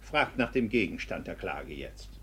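A dignified, stern court inspector in a classical radio play. His husky voice moves widely in pitch as he gives formal commands and rebukes. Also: sing-song, moderate pace, pushed and bright, exaggerated voice. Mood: reproving. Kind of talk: classical verse radio drama.

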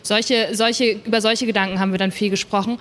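Female author, mid-pitched, casual panel talk, reverberant hall recording. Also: steady tone, brisk, a bit bright. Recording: long reverberant hall sound.

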